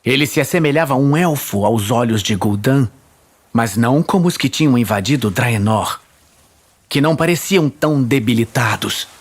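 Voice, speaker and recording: A man's voice: mid-range voice, expressive, moderate pace. A man with a Brazilian accent reading scripted fantasy narration in a dramatic storytelling style. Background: faint music.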